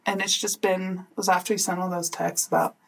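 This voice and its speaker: A woman's voice: on the low side, flat delivery, a little fast, vocal fry, low-quality recording. Giggly, chatty woman podcast host in her thirties. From Switzerland. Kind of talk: casual chat, sharing personal stories.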